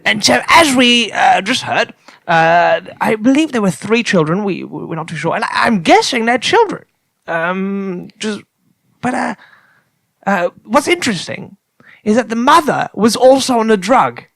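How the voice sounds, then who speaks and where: A man's voice: sits high, big pitch swings, moderate pace, creaky, fairly bright tone, no echo, studio quality. A man in improvised comedy talk, bumbling politely and stuttering over his words. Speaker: alien-radio translator expert.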